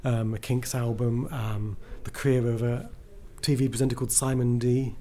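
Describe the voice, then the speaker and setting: A man's voice: mid-range voice, level tone, moderate pace, warm tone. A man being interviewed as a writer, chatting casually and enthusiastically, often rambling and breaking into his own sentences.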